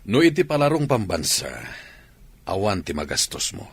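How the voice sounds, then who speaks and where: A man's voice: fairly low pitch, big pitch swings, moderate pace, husky, no room sound, full character voice. A man playing an encouraging middle-aged school sports coach in a radio drama, with an Ilocano accent.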